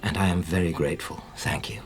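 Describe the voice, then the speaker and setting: A man's voice: sits low, steady tone, fast talker, lightly acted. An actor playing a determined, politely guarded middle-aged man in a naturalistic radio drama, speaking in an understated, hesitant way. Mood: measured, determined.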